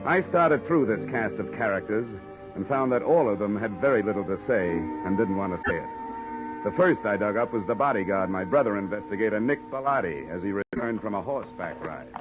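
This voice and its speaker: A man's voice: lowish voice, expressive, brisk, close to an everyday voice. An American man acting a sardonic, hard-boiled insurance investigator in an old radio noir drama, narrating and questioning with dry wisecracks. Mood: sardonic narration. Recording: dull old radio recording, music.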